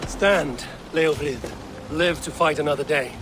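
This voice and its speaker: A man's voice: a little high, unhurried, noisy background, natural voice. Firm warrior, played by a man. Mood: grave, insistent. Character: resolute male Viking warrior, persuasive and merciful. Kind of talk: dramatic video game acting. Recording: dramatic music behind the voice.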